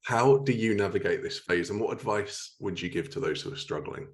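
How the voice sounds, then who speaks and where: A man's voice: lowish voice, a little fast. A man hosting a podcast interview, chatting casually and agreeably with his guest. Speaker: podcast host interviewing a writer.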